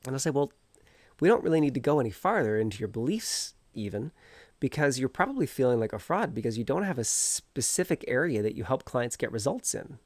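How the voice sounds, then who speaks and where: A man's voice: medium pitch, big pitch swings, fairly quick, no echo. A man with a cold and a stuffed-up nose, earnestly encouraging viewers as a self-help coach while talking outdoors. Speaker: energy healer and coach.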